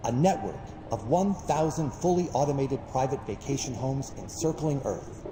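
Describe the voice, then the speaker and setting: An actor plays a grandiose corporate chief executive from a space video game. He delivers a scripted, pompous promotional speech with a very animated pitch. His voice rings through a reverberant space, with noise behind it. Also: lightly acted. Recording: echoing hall announcement with background noise.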